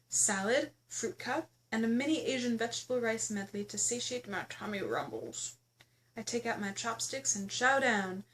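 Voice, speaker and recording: A woman reading her own memoir aloud as its author, in expressive first-person narration with a playful, theatrical, exclamatory storytelling manner. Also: fairly high pitch, slight background noise, low-quality recording.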